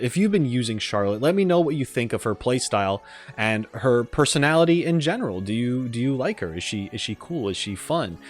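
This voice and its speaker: A man gives casual gaming reaction commentary in a mid-pitched voice. He rambles along in a chatty, self-deprecating way, with faint music behind him. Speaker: reaction video host.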